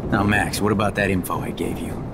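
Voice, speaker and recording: Gravelly, grieving American drug dealer. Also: male voice, flat delivery, heavy background noise. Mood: weary. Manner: halting, emotional, coarse-mouthed. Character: rough, grieving drug dealer, regretful and wounded. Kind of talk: dramatic video game acting. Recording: rumbling storm ambience behind the voice.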